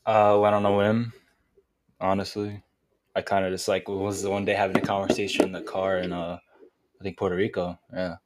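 A man's voice: low-pitched, level tone, fairly quick, darkish tone. An eighteen-year-old college student, a man, chatting hesitantly as a podcast guest with many fillers. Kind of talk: casual podcast chat.

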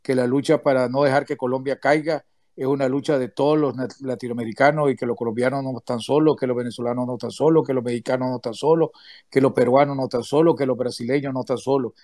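A man giving closing remarks on a remote audio call, speaking in a halting way with many restarts and hesitations. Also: mid-range voice, fairly even intonation, a little mellow, no echo, studio quality. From Nicaragua. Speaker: activist speaker, former political prisoner. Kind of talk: closing remarks in an online audio chat room.

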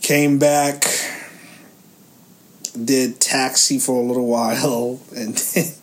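Haiti-born guy in his 30s, goofy and jokey, rambly podcast talk, normal pitch. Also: little pitch movement, slow. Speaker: podcast co-host. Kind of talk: casual chat.

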